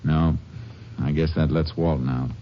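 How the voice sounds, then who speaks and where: A very low-voiced, businesslike Texas Ranger who stays terse and courteous as he investigates. The sound is a muffled old radio drama with some hiss. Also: fairly even intonation, unhurried. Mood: probing. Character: calm, dogged Texas Ranger investigator, a man. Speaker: lead actor, narrator. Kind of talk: 1950s radio drama acting. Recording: dull, hissy old radio recording.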